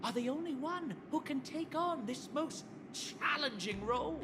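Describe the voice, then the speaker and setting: Smooth-talking flattering director. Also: male voice, extremely high pitch, measured pace, background noise. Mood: persuasive. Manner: polished, persuasive, flattering. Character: smooth, flattering male film director, showman. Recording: game audio with loud background music.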